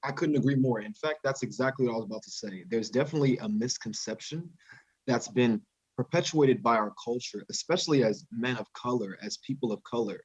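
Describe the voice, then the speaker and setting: A man's voice: mid-range voice, a little fast, dry recording. A young man, a student panelist, speaking in an articulate, earnest way. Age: young adult. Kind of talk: panel discussion. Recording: dry, dull, husky sound.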